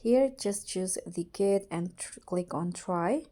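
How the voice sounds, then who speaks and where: A woman narrating a casual how-to tutorial as its host, in a halting way with repeated and corrected words. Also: on the low side, dark tone.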